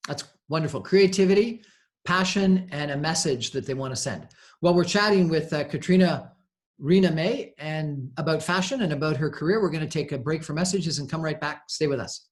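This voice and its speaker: A man hosts a conversational radio interview in a somewhat high-pitched voice. His delivery is halting and hesitant as he introduces and questions. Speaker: radio show host.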